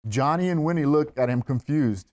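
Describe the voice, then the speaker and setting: A man's voice: a little high, moderate pace, darkish tone. A man reading out stage directions as scripted narration at a screenplay table read.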